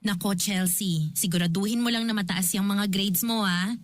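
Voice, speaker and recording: A woman's voice: medium pitch, normal speed, no room sound, natural voice. A woman playing a nagging, protective elder sister who scolds and teases in a radio drama. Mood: stern, nagging. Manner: scolding, exasperated, teasing. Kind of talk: Filipino radio drama acting.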